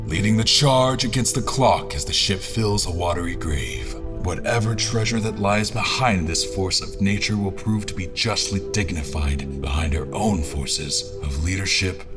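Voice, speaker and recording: A man playing a solemn, deep-voiced military strategist. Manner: solemn, literary, deliberate. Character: weary, reflective military strategist narrating his adventure. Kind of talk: dramatic scripted first-person narration.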